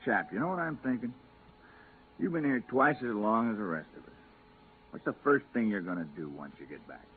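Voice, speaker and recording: An actor playing a cynical, wisecracking moon geologist with a breezy, sardonic manner in a 1950s radio drama. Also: male voice, on the low side, lively intonation, brisk, natural voice. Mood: joking. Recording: tinny, hissy 1950s radio recording.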